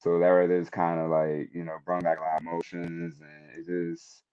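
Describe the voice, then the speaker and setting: A man on a panel giving an informal personal reflection, speaking in a hesitant, halting way. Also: low-pitched, monotone, darkish tone, dull audio, slight background noise.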